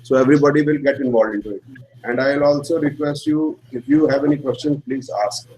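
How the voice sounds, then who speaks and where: A man's voice: mid-range voice, steady tone, fast, a little mellow, rough recording. A man with an Indian accent, a native Hindi speaker, teaches an astrology class in simple English and often checks in with "right?". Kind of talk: classroom lecture.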